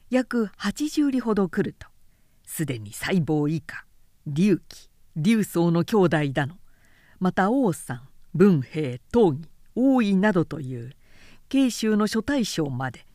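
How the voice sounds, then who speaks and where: A woman's voice: fairly low pitch, dark tone, no echo, studio quality. A woman narrating a literary audiobook in a composed, dramatic storytelling manner.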